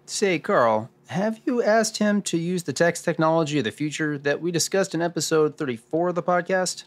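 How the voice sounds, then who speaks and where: A man's voice: on the high side, normal speed, slight background noise, lightly acted. A man playing an earnest, wry detective narrator in an old-time noir radio drama. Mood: earnest, unruffled. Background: music under the voice.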